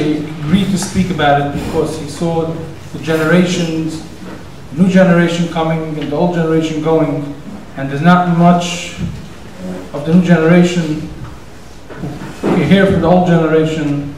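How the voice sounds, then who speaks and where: A man's voice: fairly high pitch, a little mellow, slight background noise. A man hosting the evening, giving a casual, rambling introduction and telling a story in a conversational way. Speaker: event organizer and host. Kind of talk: introductory speech. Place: synagogue hall. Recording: very echoey hall, distant mic.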